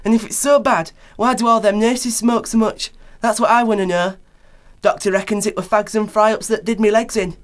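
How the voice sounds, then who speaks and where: A man's voice: high voice, fairly even intonation, normal speed, close to an everyday voice. A man acts out a coarse working-class joker for a radio play. He rambles in slangy, colloquial talk, gossipy and blunt, forever tacking little questions onto his sentences. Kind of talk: radio play character acting.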